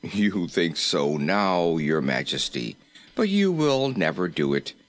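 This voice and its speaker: A man's voice: deep voice, sing-song, slow, pitched below the performer's own voice, full character voice. A man voicing a respectful, firm royal courtier in a storybook, formal and deferential yet insistent. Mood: assured. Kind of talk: storybook character voice.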